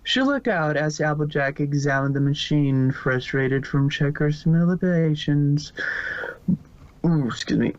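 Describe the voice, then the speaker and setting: A man's voice: fairly high pitch, measured pace. A man narrating fan fiction as a scripted story reading. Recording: muffled, dry lo-fi recording.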